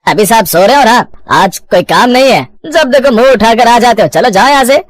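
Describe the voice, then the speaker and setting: An actor voicing a rude, dismissive servant who scolds curtly in a cartoon moral story. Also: male voice, very high-pitched, expressive, normal speed, no echo, clean studio sound. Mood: scornful, irritated. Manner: scolding, curt.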